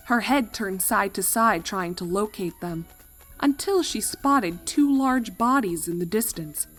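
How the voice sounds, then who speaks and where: Woman narrating a story over faint music. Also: mid-range voice. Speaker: fan fiction narrator. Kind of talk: expressive scripted story narration.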